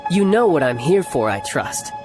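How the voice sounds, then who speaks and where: Cocky young fighter, animated delivery. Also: male voice, on the high side, moderate pace. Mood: smug. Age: young adult. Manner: theatrical, smug, playfully condescending. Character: cocky, smooth-talking young male fighter posing as salesman. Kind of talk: video game voice acting. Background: game music.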